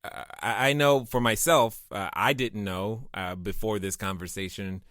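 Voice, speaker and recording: A man hosting a podcast, chatting casually and excitedly with his guest. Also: on the low side, measured pace, no echo, clean studio sound. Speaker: podcast host.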